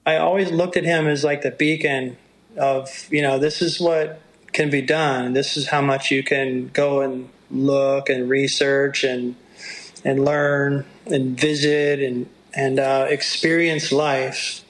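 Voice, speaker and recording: A middle-aged man with a low voice, talking through stories in an interview and sometimes quoting others in a livelier tone. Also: little pitch movement, low-quality recording. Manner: unhurried, storytelling, quotes others in a livelier voice. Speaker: motocross broadcaster. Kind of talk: reflective interview talk.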